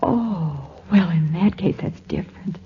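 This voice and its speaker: An American actress playing a wary, nervous young woman with a breathy, hesitant delivery in a 1940s radio drama. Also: on the low side, expressive, a little slow. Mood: uncertain. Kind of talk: 1940s radio detective acting. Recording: very thin, narrow old radio recording.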